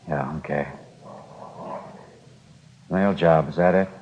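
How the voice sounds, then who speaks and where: A man's voice: extremely low pitch, fairly even intonation, takes it slowly. An actor playing a weary, heartbroken crook in his fifties in 1950s radio drama, pleading plaintively and repeating himself. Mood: dejected, hurt. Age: 50s. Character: weary, heartbroken fifty-five-year-old robbery mastermind abandoned by his son. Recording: dull, noisy vintage radio broadcast.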